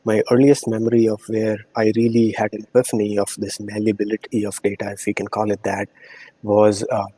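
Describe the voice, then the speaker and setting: A middle-aged man with an Indian accent speaks in a low, husky voice that stays level and even. He answers thoughtfully, pausing with ums and restarting phrases. The sound is dry and close. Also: no room sound, low-quality recording. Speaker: podcast guest. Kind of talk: conversational interview answers.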